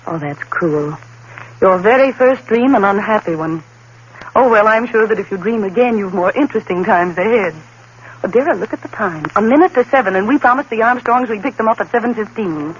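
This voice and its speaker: A woman's voice: medium pitch, animated, fairly quick, natural voice. An actress playing a worried, devoted young woman in a 1940s-style radio play, her manner pleading and affectionate. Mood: gentle. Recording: dull old radio broadcast with faint hiss.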